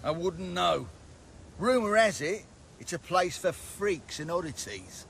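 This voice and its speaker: A shifty street looter from a fantasy game, with a slightly high voice that moves up and down a lot. He sounds uneasy, with faint ambience behind him. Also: big pitch swings, unhurried, vocal fry, fairly bright tone, slight background noise, exaggerated voice. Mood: wary, informative. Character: shifty male street looter, nervous informant. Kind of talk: video game voice acting.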